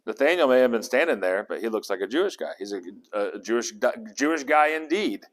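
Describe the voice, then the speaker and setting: A man teaches scripture to a small group in a loose, conversational way, stopping often to quiz his listeners. His voice sits at an ordinary middle pitch. The sound carries a long hall reverb. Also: lively intonation, a little fast. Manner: conversational, asks the group questions, restarts words. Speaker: Bible teacher. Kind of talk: interactive Bible study teaching.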